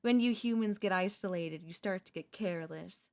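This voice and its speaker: A woman's voice: on the low side, normal speed, a little mellow, lightly acted. A woman voicing a chatty, falsely friendly female zombie in a roleplay audio, coaxing the listener in a wheedling, conversational way with hesitant slips. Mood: amused, candid.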